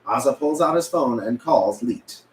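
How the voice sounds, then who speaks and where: A man's voice: mid-range voice, animated, normal speed. A man reading stage directions at a script table read, occasionally stumbling and correcting himself. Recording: echoey room with background noise.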